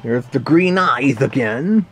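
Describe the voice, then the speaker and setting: A man's voice: medium pitch, expressive, takes it slowly, a bit bright, faint music behind. A man voicing a grumpy cartoon cat who reviews a read-along with dry, sarcastic asides. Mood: snarky.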